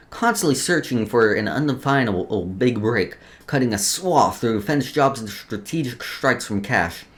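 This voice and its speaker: Casual male let's player, stumbling and joking. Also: medium pitch. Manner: stumbles over read text, joking asides; informal, sweary. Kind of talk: casual gameplay commentary mixed with reading lore aloud.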